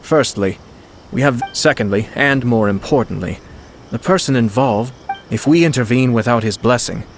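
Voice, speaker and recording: A man playing a courteous, shrewd young clan leader in a video game, speaking formal, measured, eloquent lines. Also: medium pitch, slight background noise, natural voice. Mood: thoughtful, measured. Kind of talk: video game character acting. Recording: music playing behind voice.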